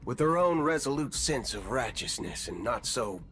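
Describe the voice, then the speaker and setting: An actor playing a folksy, swaggering space cowboy in a video game, with a theatrical American Southern drawl. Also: male voice, mid-range voice, lively intonation, normal speed, a bit bright, soft background music. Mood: solemn, resolute. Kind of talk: video game character acting.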